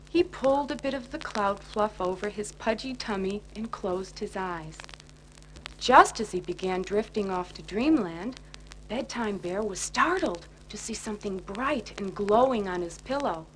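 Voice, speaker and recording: A woman narrating a children's bedtime story in an expressive storytelling manner. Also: mid-range voice. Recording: noisy 1980s children's record.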